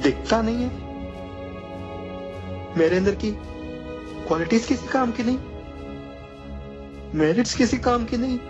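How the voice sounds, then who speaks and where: A man plays a blind young man in a radio play, pleading and hurt. His voice has a middle pitch, with music clearly heard beneath it. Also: lively intonation, unhurried, pauses often, muffled recording. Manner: pleading, then bitter and accusing.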